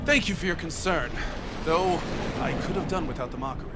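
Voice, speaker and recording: An actor playing a fanatical, paranoid street prophet in a video game, preaching conspiracy theories with theatrical, defiant grandeur. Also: male voice, fairly high pitch, expressive, fairly quick, noisy background, lightly acted. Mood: wounded, brooding.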